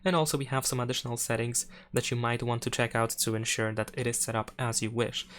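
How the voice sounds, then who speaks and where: A man's voice: mid-range voice, flat delivery, quick pace, a bit bright, slight background noise. A man presenting a step-by-step phone tutorial in an instructional, explanatory way.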